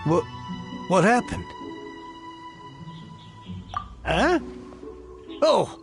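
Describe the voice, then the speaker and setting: A man playing a flustered, excitable merchant in an anime-style video game, stammering and theatrical. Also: sits high, slow, over music, exaggerated voice. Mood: flustered, defensive. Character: flustered, excitable merchant haggling over stock, easily astonished.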